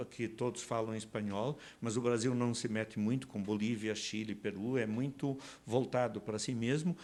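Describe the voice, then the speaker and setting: A man's voice: mid-range voice, a little mellow. A man from Portugal, a guest in an interview, explaining his views in a conversational, digressive way with asides and tag questions.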